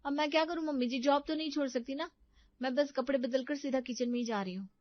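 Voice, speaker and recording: A female narrator puts on a harried young daughter-in-law with a raised, high voice. She is brisk, exasperated and defensive, in a comic family drama recorded dry and dull. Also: dry recording, close to an everyday voice. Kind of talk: Hindi comedy story dramatization.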